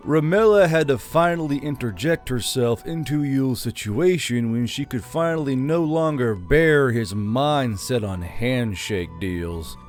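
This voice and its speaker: A man narrating a fantasy novel in a steady storytelling manner, with dry, flat touches. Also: medium pitch. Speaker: audiobook narrator.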